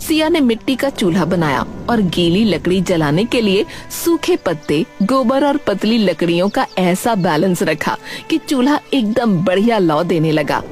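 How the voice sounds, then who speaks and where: A woman's voice: medium pitch, very animated, measured pace, few pauses, a little mellow, soft background music. A woman narrating a scripted cartoon story.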